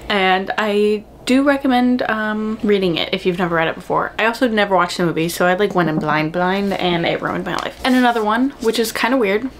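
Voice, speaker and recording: A woman's voice: mid-range voice, slight background noise. A young woman chatting casually to camera as a book vlogger, gushing and slangy, with dramatic emphasis on her words.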